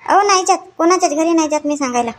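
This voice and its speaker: Woman voicing a high-pitched, fretting village housewife. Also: rapid-fire. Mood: fretting, complaining. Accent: rural Vidarbha Marathi. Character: busy middle-aged village housewife organising a household ceremony. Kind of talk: homely cartoon comedy dialogue.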